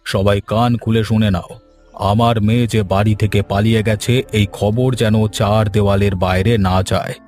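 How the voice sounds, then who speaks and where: A man's voice: on the low side, steady tone, moderate pace, darkish tone, soft background music, close to an everyday voice. A man playing a short-tempered, threatening village master and father in a cartoon, with a scolding, imperious way of talking. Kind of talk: cartoon voice acting.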